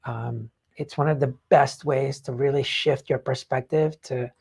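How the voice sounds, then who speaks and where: A podcast host with a husky, slightly rough voice in the middle of the pitch range. The talk is casual and unscripted, peppered with swearing, and points get repeated for emphasis. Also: steady tone, unhurried, a little mellow. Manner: informal, frequent swearing. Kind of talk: casual live book discussion.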